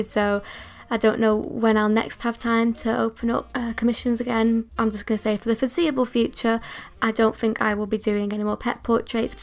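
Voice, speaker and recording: A young woman with a northern English accent talks casually about her art in a chatty, self-deprecating way. Her slightly high voice has a gravelly, croaky edge, since she has just woken up and has retainers in. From England. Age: young adult. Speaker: art vlogger.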